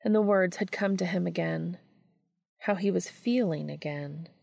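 A woman's voice: on the low side, unhurried, vocal fry, a little mellow, no echo, studio quality. A woman reading a story aloud as scripted audiobook narration. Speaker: fan fiction audiobook reader.

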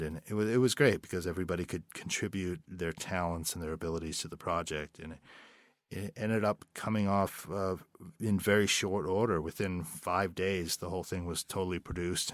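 A man's voice: low-pitched, no echo, studio quality. A man being interviewed about producing an audio drama, talking in a rambling, hesitant way. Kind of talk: interview guest.

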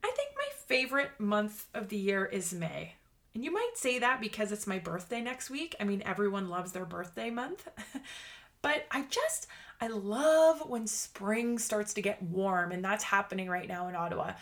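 A woman's voice: medium pitch, fairly bright tone. A woman in her late thirties hosting a motivational podcast, chatty and bubbly, laughing often. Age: 30s. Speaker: podcast host. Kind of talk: upbeat motivational podcast monologue.

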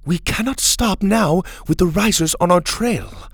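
A man's voice: fairly high pitch, animated, measured pace, no room sound, studio quality, voice pushed up. A man voicing a stern, practical, impatient female leader in a storybook, speaking firmly and formally. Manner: firm, snappish, formal wording without contractions.

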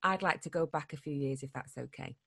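A woman's voice: sits low, brisk, creaky, a bit bright, husky. A woman hosting an interview show, friendly and upbeat, with light teasing. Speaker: podcast host.

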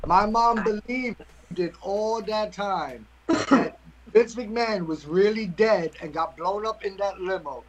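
A man from New York co-hosting a podcast, telling an animated story. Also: high-pitched, a little slow. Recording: noisy line with clicks.

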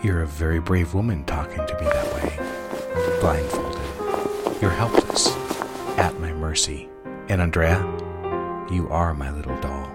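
An actor playing a controlling, seductive lover in an intimate audio drama, tender yet menacing, hushed and coaxing. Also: male voice, extremely low pitch, unhurried, long pauses. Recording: loud music behind the voice. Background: music.

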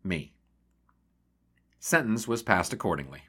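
An actor playing a plucky, wry and wordy newspaper sportswriter from the 1920s in a radio drama. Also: male voice, sits low, very animated, a little slow, pushed and bright, exaggerated voice. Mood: reflective. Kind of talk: radio drama acting with first-person narration.

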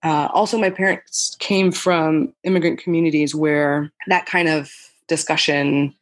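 Low-voiced woman guest, thoughtful. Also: steady tone, unhurried. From United States. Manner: thoughtful, many restarts and "you know". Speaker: podcast guest. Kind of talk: reflective interview answers.

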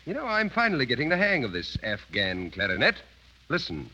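An actor playing a flippant, urbane British lieutenant with an airy, mock-polite manner in a 1930s radio drama. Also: male voice, medium pitch, huge pitch swings, a little fast, pushed and bright, exaggerated voice. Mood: teasing. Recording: dull, noisy vintage 1930s radio.

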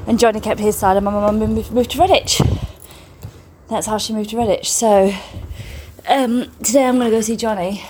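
A middle-aged woman, a comedian chatting casually to camera on her tour vlog, excitable and gushing. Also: medium pitch, a little hiss or hum. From England.

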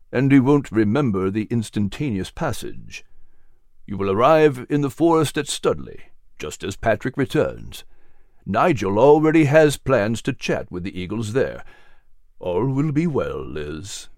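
A narrator voicing a calm, wise and kindly male guide in a children's audiobook. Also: mid-range voice, a little slow, no room sound, clean studio sound. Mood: reassuring.

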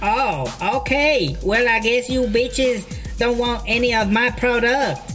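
A woman as a high-pitched sassy prison hustler. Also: takes it slowly, fairly bright tone, soft background music. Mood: sassy, pushy. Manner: foul-mouthed, sales patter, dropped grammar. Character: pushy, sassy female prison hustler selling contraband. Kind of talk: comedy audio drama acting.

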